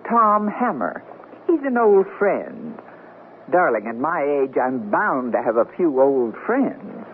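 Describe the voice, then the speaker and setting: An actress playing a witty, self-assured middle-aged newlywed wife, teasing dryly in a radio comedy drama. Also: female voice, rumbling low, big pitch swings, slow pace, heavily acted. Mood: arch, breezy. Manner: arch, dry teasing. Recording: tinny, noisy old radio broadcast recording.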